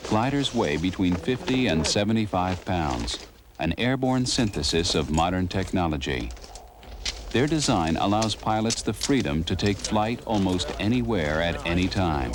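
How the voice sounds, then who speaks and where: A man's voice: sits low, lively intonation, normal speed, noisy background. A man reading scripted documentary narration. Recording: music under the voice. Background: background music.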